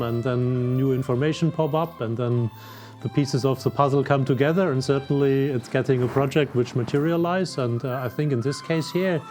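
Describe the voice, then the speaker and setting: A man's voice: mid-range voice, darkish tone. A German man in his sixties, a native German speaker and underwater photographer, giving hesitant interview answers with many fillers. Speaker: wreck diver and underwater photographer.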